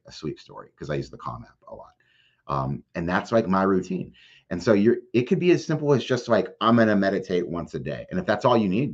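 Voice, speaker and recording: A man's voice: a bass voice, slightly rough. A middle-aged man giving conversational advice as an interview guest, explaining in plain, everyday words sprinkled with filler phrases. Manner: plain-spoken, explanatory, peppered with "like" and "you know". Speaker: podcast guest, mental health advocate. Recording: dull, lo-fi sound.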